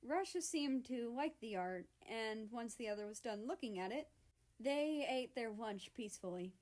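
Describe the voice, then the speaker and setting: A young woman reading her own fan story aloud in a soft, unhurried manner. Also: on the high side, lively intonation. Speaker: fanfiction reader.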